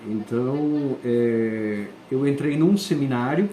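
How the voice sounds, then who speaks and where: A man, a school principal answering interview questions and often pausing to search for words. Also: mid-range voice, warm tone, slight background noise. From southern Brazil. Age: 40s. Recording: echoey room.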